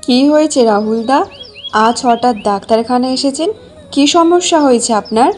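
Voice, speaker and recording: A woman's voice: a little high, normal speed, darkish tone, faint music behind, lightly acted. A woman playing a polite, matter-of-fact lady doctor in a cartoon. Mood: businesslike. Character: courteous, businesslike female village doctor.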